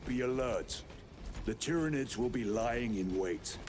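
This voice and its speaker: An actor playing a stern veteran super-soldier lieutenant who gives terse, commanding orders in a video game. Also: male voice, medium pitch, expressive, a little slow, background noise, natural voice. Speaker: space marine lieutenant. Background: loud game score music.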